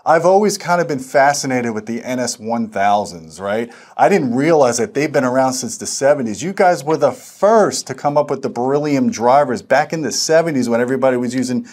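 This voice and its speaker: A man's voice: mid-range voice, lively intonation, a little fast, hardly any pauses, a little mellow. A middle-aged American man hosting a casual video interview in an enthusiastic, chummy way. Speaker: host of audio review show. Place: small indoor demo room. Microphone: lapel mic.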